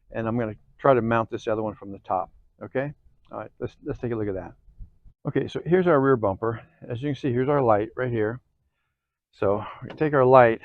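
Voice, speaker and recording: A man's voice: mid-range voice, no room sound, highs cut off. A man narrating a hands-on how-to in a casual, folksy way, often checking in with short informal asides. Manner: folksy, informal, frequent check-ins like okay and cool. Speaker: host of an off-road DIY channel.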